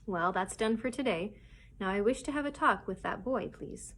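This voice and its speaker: A woman's voice: mid-range voice, animated, moderate pace, a bit bright. A woman voicing a grave, kindly male doctor in a storybook, polite, earnest and direct. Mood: brisk.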